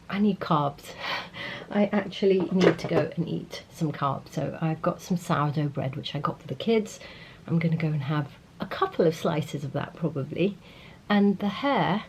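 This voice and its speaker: A woman's voice: on the low side, a little mellow, husky, highs cut off. A middle-aged woman vlogging casually about makeup, chatty and enthusiastic, still congested from a cold. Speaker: beauty vlogger. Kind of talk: casual vlog talk to camera.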